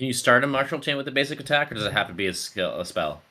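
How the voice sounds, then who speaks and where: A man's voice: mid-range voice, big pitch swings, racing, bright, forceful tone. A man playing a tabletop roleplay game, talking casually and chummily as he plans moves. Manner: chummy, says mate often.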